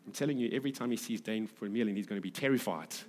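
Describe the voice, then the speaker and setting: A man from Durban with a South African English accent, preaching as a pastor in a conversational, halting way with repeated phrases for emphasis. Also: fairly low pitch, a little mellow. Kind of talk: sermon with scripture reading and conversational asides. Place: large reverberant hall, preaching to a congregation. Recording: echoey hall with long reverb.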